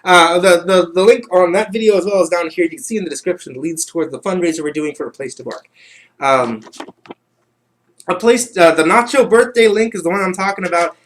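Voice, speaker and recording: A man's voice: high voice, a little fast. A man hosting a livestream in casual, rambling chat, often repeating himself as he goes. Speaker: livestream host.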